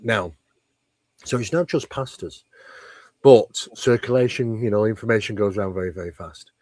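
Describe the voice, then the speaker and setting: A man's voice: fairly low pitch, dry recording. A man speaking as an interview guest, explaining ideas at length in an emphatic, conversational way and often posing rhetorical questions. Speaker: interview guest, paranormal researcher. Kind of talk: conversational explanation of paranormal topics.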